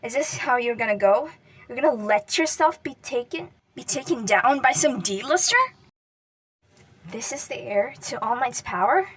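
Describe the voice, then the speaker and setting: A woman voicing a furious, grieving, hot-tempered young man who yells and curses at an unmoving friend, his voice breaking. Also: a little high, normal speed, breathy edge, recorded from a distance, rough recording. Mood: bitter taunting. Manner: yelling, cursing, voice breaking. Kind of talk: dramatic fan fiction reading.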